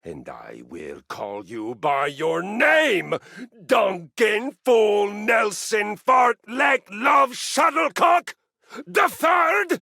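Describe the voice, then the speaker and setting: An actor voicing a huge, pompous warrior brute in a video game, domineering and scornful. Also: male voice, high-pitched, very animated, extremely slow, gravelly, sharp tone, dry recording, clean studio sound, heavily acted. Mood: bellowing outrage. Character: huge pompous bearded warrior brute preaching painful exercise. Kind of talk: video game character acting.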